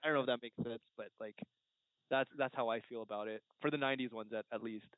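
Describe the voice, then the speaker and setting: A man on a podcast panel chatting casually, haltingly searching for his words. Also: mid-range voice, fairly even intonation, no room sound. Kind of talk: casual chat. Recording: muffled narrow-band phone-like audio.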